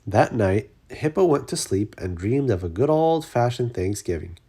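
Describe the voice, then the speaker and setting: Animated storybook reader with a husky voice. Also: medium pitch, moderate pace. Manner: expressive, dramatic emphasis. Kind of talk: read-aloud children's story narration.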